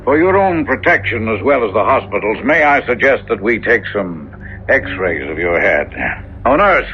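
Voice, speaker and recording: An actor playing a skeptical, sardonic hospital chief neurosurgeon in a 1970s radio drama style, brusque and authoritative. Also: male voice, lowish voice, very animated, moderate pace. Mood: professional, brisk. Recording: muffled, hissy 1970s radio recording.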